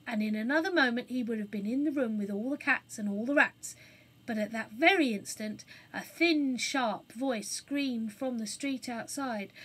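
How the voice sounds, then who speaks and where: Female children's narrator: slightly high pitch, breathy husky tone, expressive storytelling delivery.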